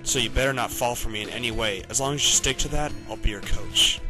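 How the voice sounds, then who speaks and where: A man playing an aloof, blunt teenage boy with a curt, deadpan delivery in an amateur anime-style fandub. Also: medium pitch, fast talker, music in the background, natural voice. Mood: curt, cool.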